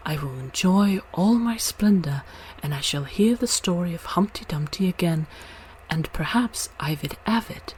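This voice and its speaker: A woman's voice: lowish voice, expressive, moderate pace, darkish tone, lightly acted, rough recording. Lady doing a naive lil fir tree from a fairy tale, wistful, hopeful, faint noise behind. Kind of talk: storybook character voice.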